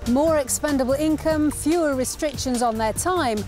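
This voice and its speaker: A woman presenting a travel show, reading a bright, lilting script. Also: sits high, normal speed, faint music behind.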